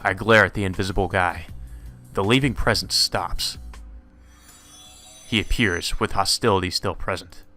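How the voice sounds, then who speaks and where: A man's voice: low-pitched, normal speed, pauses often, sharp tone, soft background music. A man reading visual novel narration aloud as the narrator of a let's play.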